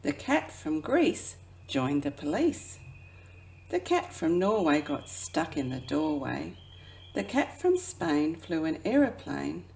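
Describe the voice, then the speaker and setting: A woman who works as a children's educator reads a picture book aloud expressively, drawing out phrases and dropping into hushed asides. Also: sits low, creaky, slight background noise. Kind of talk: children's storybook read-aloud.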